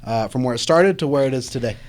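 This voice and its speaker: A man's voice: mid-range voice, expressive, quick pace, a little mellow. A man hosting a casual interview podcast, welcoming and questioning guests in informal speech.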